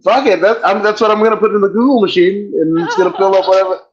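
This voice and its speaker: A man's voice: high voice, fast talker, crowd noise. A man hosting a podcast in casual chat, profane and rambling, joking as he goes. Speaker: podcast host. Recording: dull lo-fi sound.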